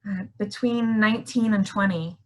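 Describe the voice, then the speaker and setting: A woman in a staged play reading as a shaken, soft-hearted wife, speaking haltingly and repeating words. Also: medium pitch, natural voice.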